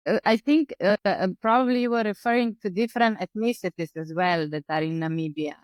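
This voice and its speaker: Female interviewer, low and clear voice, dry recording; hesitant, filler-laden questions. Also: dry recording. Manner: halting questions with frequent "uh" fillers. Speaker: podcast host.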